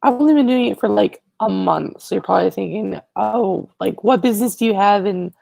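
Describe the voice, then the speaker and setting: A teenage boy hosting a casual livestream, rambling and correcting himself as he chats to viewers, his voice raspy today. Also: high-pitched, a little fast, a little mellow. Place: living room, indoors. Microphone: earbud headset mic, webcam.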